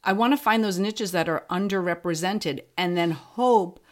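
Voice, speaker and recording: A woman's voice: fairly low pitch, normal speed. A woman talking casually as an interview guest, plain-spoken and encouraging. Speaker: podcast guest, fiction podcast creator.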